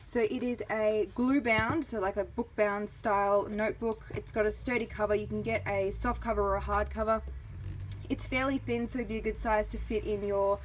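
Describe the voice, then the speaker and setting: A woman's voice: medium pitch, steady tone, moderate pace, low-quality recording. Australian woman with noise behind her. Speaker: vlogger reviewing products. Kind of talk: casual product review narration.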